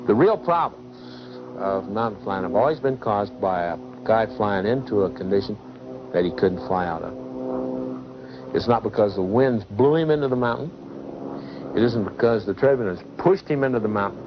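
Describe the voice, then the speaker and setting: A man's voice: lowish voice, lively intonation, moderate pace, background noise. A man instructing pilots informally, with a blunt, emphatic way of talking. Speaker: mountain flying instructor. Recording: muffled 1970s film sound with music.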